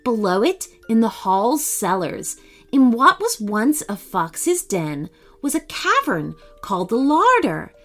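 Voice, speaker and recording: Lively, unhurried woman telling bedtime stories. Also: fairly high pitch. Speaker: children's storyteller. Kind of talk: expressive scripted bedtime story narration. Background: faint music.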